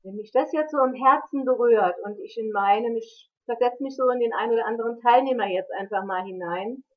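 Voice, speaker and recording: A woman interviewing a guest, asking thoughtful questions and murmuring soft affirmations. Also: on the high side, fairly quick. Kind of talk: interview host, warm questions. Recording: tinny phone line.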